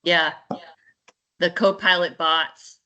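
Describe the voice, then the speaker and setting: A woman's voice: on the low side, fairly even intonation, slow pace, pushed and bright, lo-fi sound. A woman co-hosting a tech show in casual, informal and enthusiastic conversation. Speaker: co-host of a tech discussion show.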